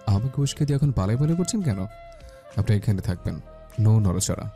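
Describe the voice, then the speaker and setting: A man's voice: on the low side, lively intonation, a little fast, warm tone, soft background music. A man acting a romantic, possessive young army officer husband in an audio love story, with an intimate, teasing, intense manner. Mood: teasing, commanding.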